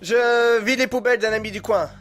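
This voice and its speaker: A man's voice: very high-pitched, steady tone, brisk, fairly bright tone, a little hiss or hum. A man playing a panicked, defensive killer in an audio drama, agitated and pleading as he protests. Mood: nervous. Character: panicked, defensive man who has just killed someone. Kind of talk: amateur audio drama acting.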